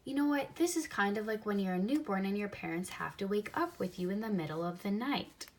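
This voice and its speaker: A woman reads a picture book aloud for young children, expressively, pausing to add simple comments of her own. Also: medium pitch, lively intonation. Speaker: storybook reader.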